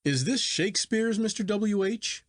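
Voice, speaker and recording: A man voicing a curious, self-assured younger gentleman in a storybook reading, exclamatory and inquisitive. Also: high voice, lively intonation, a little slow, no room sound, studio quality, pitched above the performer's own voice. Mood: excited, amazed. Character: eager, curious younger gentleman, excitable and sure of himself. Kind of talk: acted dialogue in storybook reading.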